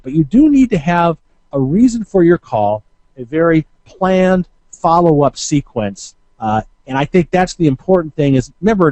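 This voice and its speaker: A man giving informal instructional talk as a marketing coach, conversational and halting, often hesitating and correcting himself. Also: fairly high pitch, dark tone, no room sound.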